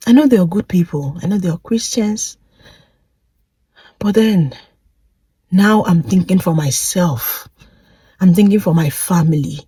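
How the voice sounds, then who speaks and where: A woman's voice: fairly low pitch, mellow, husky, dry recording. A woman reflecting aloud in a personal vlog, asking one rhetorical question after another with feeling. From Nigeria. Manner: impassioned, full of rhetorical questions. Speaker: vlogger.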